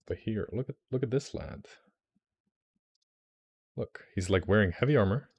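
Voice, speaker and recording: This is a young man from Iceland, speaking English as a second language, on a casual stream. His voice sits very low. He rambles warmly through fillers and restarts, getting excited about what he shows. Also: moderate pace, husky. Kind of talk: casual livestream chat.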